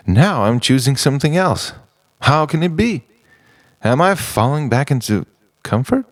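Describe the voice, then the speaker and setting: A man delivers an introspective spoken-word piece in a low, creaky voice. He moves slowly through halting, poetic phrases and questions, with pitch that swings widely from line to line. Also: no room sound. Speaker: narrator of a personal art film.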